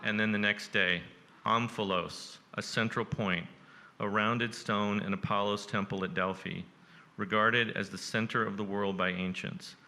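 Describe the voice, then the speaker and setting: Forceful low-voiced man reading aloud. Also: fairly even intonation, measured pace. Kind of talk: reading journal excerpts aloud at a live event.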